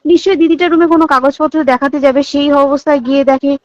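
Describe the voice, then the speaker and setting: A woman with a high voice and an Indian Bengali accent talks on a recorded phone call. She explains emphatically, repeats herself and keeps checking she is understood. The sound is dull and lo-fi, with little room echo. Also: steady tone, quick pace, darkish tone. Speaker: hospital staff member. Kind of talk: private phone conversation, recounting insider details.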